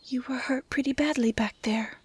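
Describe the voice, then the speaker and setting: A woman playing a gentle dryad who protects a forest, speaking in soft, hushed, halting tones in an intimate roleplay audio drama. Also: sits high, steady tone, husky, no room sound. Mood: gentle, curious.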